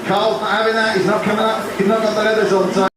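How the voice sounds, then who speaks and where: A man announcing podium results and interviewing riders at a motorcycle race, energetic and chatty. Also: high voice, fast. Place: outdoor race track. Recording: echoey, far from the mic, with noise behind.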